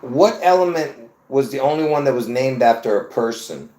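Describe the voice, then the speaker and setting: A man hosts his own video in a casual, rambling monologue, repeating words and getting worked up as he talks to viewers. His voice sits in a middle pitch and the sound rings around an echoey room. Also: normal speed. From Lebanon. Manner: excitable, repeats himself, laughs between points. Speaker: video host.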